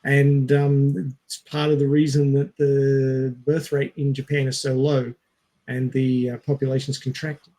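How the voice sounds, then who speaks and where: A man with a medium-pitched voice talks casually as a podcast co-host. He pauses and searches for his words as he explains things, speaking into a headset. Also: little pitch movement, mellow, low-quality recording. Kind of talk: casual film podcast chat.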